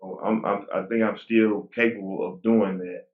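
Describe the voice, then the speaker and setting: A man's voice: fairly low pitch, normal speed. Rambling forties man over a tinny line. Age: 40s. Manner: relaxed, rambling with frequent restarts. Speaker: guest bodybuilder. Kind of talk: casual interview talk. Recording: tinny phone-like line, roomy echo.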